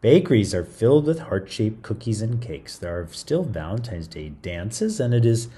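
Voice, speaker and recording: Husky, very animated man reading aloud. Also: fairly low pitch, normal speed. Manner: theatrical, playful, interjecting comments to young listeners. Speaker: children's story reader. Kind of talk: animated read-aloud storytelling with playful asides.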